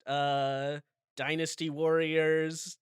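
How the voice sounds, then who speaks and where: Male co-host; smooth tone, slightly high, laid-back joking delivery. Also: little pitch movement, extremely slow, no room sound, clean studio sound. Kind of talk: casual chat.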